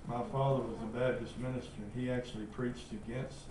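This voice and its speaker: An older man from North Georgia gives measured, deliberate memories in an oral history interview. His voice sounds roomy and distant, with a clear hiss in the background. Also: medium pitch. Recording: echoey room, distant mic, steady hiss.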